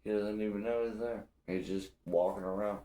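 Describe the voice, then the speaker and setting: A man's voice: on the low side, steady tone, normal speed, warm tone, echoey room. A young man from Illinois hosting a solo podcast, ranting bluntly with lots of profanity. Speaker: podcast host. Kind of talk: casual solo podcast rant.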